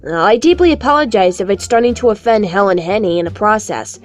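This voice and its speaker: A woman's voice: mid-range voice, lively intonation, fast, faint music behind, natural voice. A woman voicing a friendly girl who organizes the others, in a fan-made skit.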